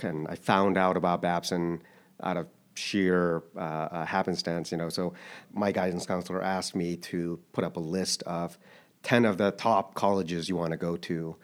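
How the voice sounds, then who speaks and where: A man in his thirties who grew up in Japan, answering interview questions in a thoughtful, hesitant way with many restarts. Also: low voice. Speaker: interview guest. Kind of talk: casual interview.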